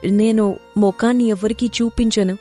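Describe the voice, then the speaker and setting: A woman plays a young princess from a cartoon moral tale. She is gentle, humbled and kind, living in hiding. Her voice sits at a middling pitch, with music underneath. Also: fairly even intonation, moderate pace, natural voice. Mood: guarded. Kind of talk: cartoon moral story dialogue.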